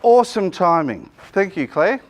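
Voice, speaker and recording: A middle-aged Australian man, a business coach, running a joking, energetic seminar and quizzing his audience, speaking without a microphone to the room. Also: high-pitched, normal speed. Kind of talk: lively workshop presentation to a live room.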